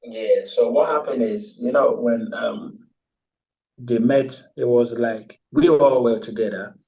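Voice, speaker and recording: A man from Ghana, a guest in a casual chat, telling stories in a hesitant, relaxed way with many pauses and ums. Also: medium pitch, distant mic, poor audio.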